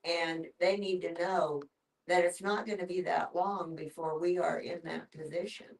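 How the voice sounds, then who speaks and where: An older woman, in her sixties or beyond, sharing casually in a group in a matter-of-fact, halting way. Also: lowish voice, fairly even intonation, recorded from a distance, rough recording. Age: 60s or older. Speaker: discussion participant, grandmother.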